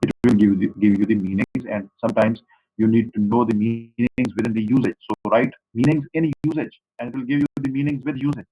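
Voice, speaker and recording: A man with a Pakistani accent lectures a university class over an online call. His voice sits at a middle pitch, and he circles back over the same words to make sure the students follow. The audio is dull and lo-fi, like a compressed call. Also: steady tone, fast talker, slightly rough. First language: Saraiki. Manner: repetitive, explanatory, addresses students directly.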